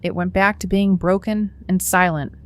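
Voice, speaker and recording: A woman's voice: fairly low pitch, steady tone, moderate pace, lightly acted. A woman playing an earnest, reflective runner who narrates her own story in an audio drama. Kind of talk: first-person story narration with acted dialogue.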